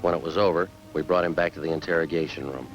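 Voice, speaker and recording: A man playing a terse, unflappable police sergeant in a radio crime drama, speaking in a clipped, deadpan, matter-of-fact way. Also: a bass voice, quick pace, lo-fi sound, loud music behind the voice. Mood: flat, procedural. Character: terse, unflappable male police sergeant narrating his case.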